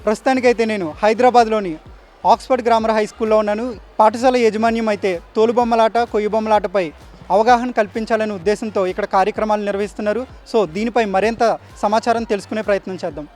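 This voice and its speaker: A man's voice: high voice, fairly even intonation, fast, a little mellow. A man reporting on location in the style of a news piece to camera. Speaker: field reporter.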